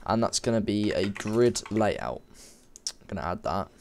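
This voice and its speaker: Sniffly man with a cold, low voice. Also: animated, unhurried, creaky, a little mellow. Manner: informal, rambling, self-correcting while typing. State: has a cold, a bit sniffly. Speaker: game development tutor. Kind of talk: casual screen-recorded coding tutorial. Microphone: desk microphone.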